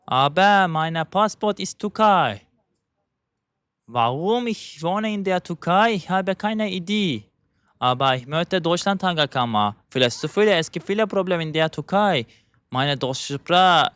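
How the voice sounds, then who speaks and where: A man, talking in a bitter, halting vlog monologue with frequent restarts. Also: fairly high pitch, fairly bright tone, smooth, dry recording. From Turkey. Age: 20s. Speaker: YouTuber.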